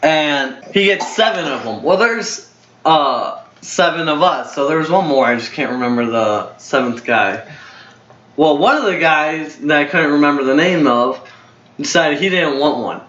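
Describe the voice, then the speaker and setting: A young man in his twenties tells a rambling story, restarting and correcting himself as he goes. His voice sits a little high. The recording is roomy and dull, like a cheap home setup. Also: lively intonation, unhurried, a bit bright. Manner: rambling, full of fillers and self-corrections. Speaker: storyteller host. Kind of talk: casual storytelling chat.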